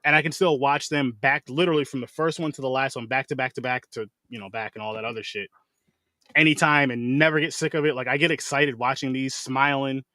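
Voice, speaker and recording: A man hosting a podcast in casual chat, talking quickly and swearing freely. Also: mid-range voice, dry recording. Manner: rapid, animated, swears freely. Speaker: podcast host.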